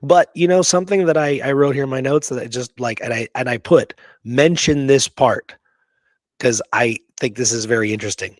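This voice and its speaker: A man's voice: mid-range voice, fairly quick, no room sound. Casual man talking history, dry close sound. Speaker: podcast co-host presenting history research.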